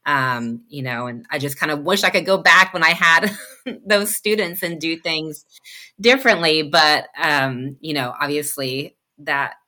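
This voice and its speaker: Low-voiced reflective woman host. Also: a little slow, sharp tone. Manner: reflective, thinks aloud with many fillers. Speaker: host, parent of a child who stutters. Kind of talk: conversational interview.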